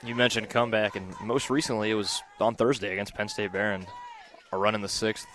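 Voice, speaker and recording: A man giving conversational color commentary and analysis on a live softball broadcast. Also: fairly low pitch, fairly even intonation, fairly quick, crowd noise.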